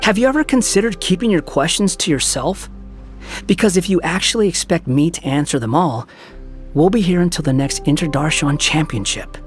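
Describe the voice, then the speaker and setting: A man's voice: a little high, animated, a little fast, soft background music, natural voice. A man playing an aloof, impatient young reluctant hero in a video game, speaking in a dry, dismissive, sarcastic way. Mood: scornful. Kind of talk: video game character acting.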